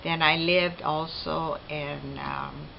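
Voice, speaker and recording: A woman in her seventies from Hawaii answering interview questions off the cuff in short, measured phrases. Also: sits low, fairly even intonation, vocal fry. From Hawaii, United States. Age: 70s. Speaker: interviewee, retired school teacher. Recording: dull sound with faint background noise.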